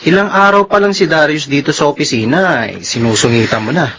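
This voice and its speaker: A man's voice: a little high, fairly quick, a bit bright, breathy edge. A Filipino actor playing a fair-minded, considerate male supervisor who talks in a reasoned, reproving way in a radio drama. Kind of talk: radio drama acting in Tagalog.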